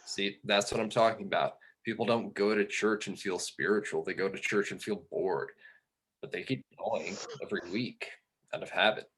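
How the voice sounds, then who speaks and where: A man's voice: fairly low pitch, fairly even intonation, moderate pace, a bit bright, slight background noise, natural voice, low-quality recording. A man acting a brooding, embittered, drily probing character in a read-through of a film script. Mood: dry, probing. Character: brooding, embittered man who lost faith in God.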